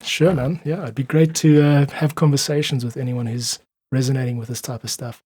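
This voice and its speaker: A man's voice: medium pitch, steady tone, fairly quick, a little mellow, husky, no echo. A man chatting casually as a podcast guest, in an easygoing, informal manner. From South Africa. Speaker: podcast guest, independent musician. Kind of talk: casual interview chat.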